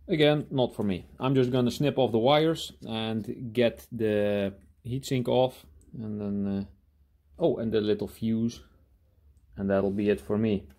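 A man talking casually through a hands-on demonstration in a workshop, halting and thinking aloud as he goes. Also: lowish voice, darkish tone. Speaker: hobby scrapper presenting his own video. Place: indoor cluttered workshop.

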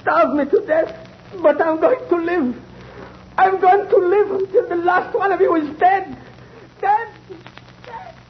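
An actor playing a desperate, dying escaped convict, wailing and repeating himself in 1930s radio style. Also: male voice, extremely high pitch, measured pace. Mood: vengeful, delirious. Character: dying, thirst-crazed male escaped convict, vengeful. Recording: muffled, noisy 1930s radio recording.

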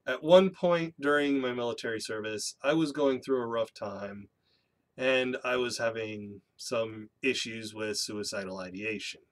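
A man in his thirties hosting a personal vlog, a little congested and nasal from a cold, talking in a candid, measured way with dry self-deprecating asides. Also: mid-range voice. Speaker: YouTube channel host. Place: small home room with bookshelves, indoors. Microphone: webcam in front of a seated speaker.

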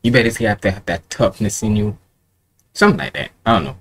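A man's voice: fairly low pitch, animated, fast talker, fairly bright tone. A man in his twenties giving casual reaction commentary in a rambling, colloquial way, often repeating himself. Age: 20s. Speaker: reaction video host. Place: indoor room at home with curtains. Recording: noticeable background noise, home recording.

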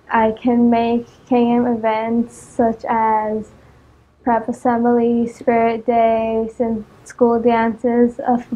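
A woman's voice: a little high, phone-quality sound. A teenage girl reciting a prepared campaign speech as a student candidate. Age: teenager, about 14.

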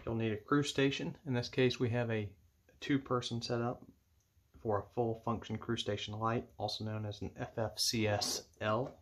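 A man giving measured, matter-of-fact step-by-step instructions as he demonstrates an equipment setup indoors at a table. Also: mid-range voice, flat delivery. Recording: clear background noise with clicks.

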